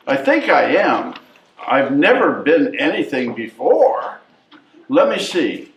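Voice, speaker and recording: Bossy, cocky newborn baby played by a man, lively swings in pitch, distant echoey stage recording. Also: highs cut off, a little hiss or hum. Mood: musing, pleased. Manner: bossy, know-it-all. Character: cocky, chatty newborn baby, sure of being a girl. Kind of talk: readers theatre comedy performance.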